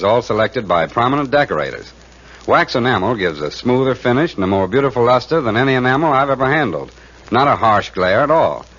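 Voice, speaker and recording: An actor playing an enthusiastic storekeeper in a scripted 1940s radio advertisement. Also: male voice, mid-range voice, fairly bright tone. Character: enthusiastic male storekeeper pitching paint. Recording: muffled 1940s radio recording.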